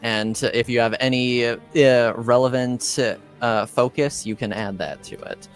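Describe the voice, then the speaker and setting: A man running a tabletop roleplaying game as game master, narrating in a casual, halting way. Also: mid-range voice, steady tone. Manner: halting, hesitant, casual delivery. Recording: music behind the voice. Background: music.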